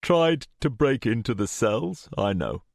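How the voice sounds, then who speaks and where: A man's voice: mid-range voice, very animated, unhurried, vocal fry, no room sound, studio quality, pitched above the performer's own voice, exaggerated voice. A man voicing a coolly calculating male villain in an audiobook, with formal, unruffled, conversational phrasing. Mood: brisk unconcern.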